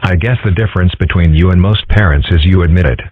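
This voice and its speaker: Deep, husky man as a deadpan fox boy. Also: fast talker. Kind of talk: comic strip dub. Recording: muffled phone-like audio.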